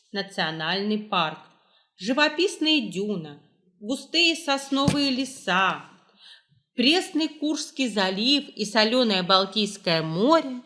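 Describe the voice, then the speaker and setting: A woman's voice: mid-range voice, fairly bright tone. Woman narrator with a mid-range voice. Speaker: narrator, library staff. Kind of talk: scripted educational travel narration.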